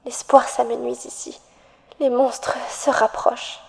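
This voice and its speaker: Actress doing a desperate trapped girl in a horror cartoon, highish soft voice, begging, big echo. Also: flat delivery, gravelly. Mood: wistful, fearful. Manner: pleading, earnest, slow dramatic delivery. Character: desperate young woman trapped in darkness, pleading for rescue. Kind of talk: dramatic monologue in an animated horror fandub. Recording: long reverb.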